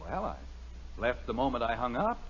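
A man's voice: medium pitch, big pitch swings, normal speed, husky. A man playing a sheepish, apologetic neighbor in a 1950s radio comedy. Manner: apologetic, meek. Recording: muffled old radio recording.

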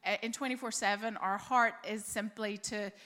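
A woman's voice: medium pitch, fairly even intonation, normal speed, sharp tone. A woman in her forties with a Northern Irish accent, a guest speaker at a church talking easily about her life, with many fillers. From Northern Ireland. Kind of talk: church talk interview.

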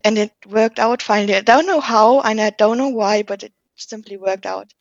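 A woman's voice: mid-range voice, normal speed, no echo. A young woman in her late teens, a native German speaker with a German accent, telling a personal story casually as a podcast guest. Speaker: podcast guest, university student. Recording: dull lo-fi audio.

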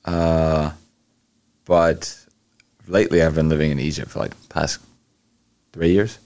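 A man's voice: extremely low pitch, fairly even intonation, darkish tone. A young man answering interview questions casually, with lots of fillers and restarts. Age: young adult. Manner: halting, many fillers and restarts. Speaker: guest, college athlete.

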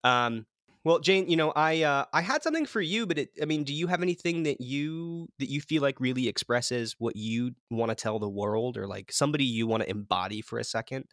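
A man hosts a casual comedy chat in a dry-sounding room. His mid-pitched voice swings up and down in a lively way, and he talks with mock earnestness, halting and encouraging. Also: no echo. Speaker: podcast host.

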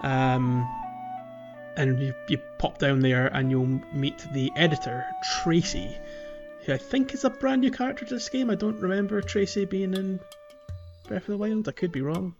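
A man's voice: high-pitched, normal speed, music in the background. Rambling chatty man, slightly dull audio. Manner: rambling, heavy on fillers and laughs. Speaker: podcast co-host. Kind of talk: casual chat about a video game playthrough.

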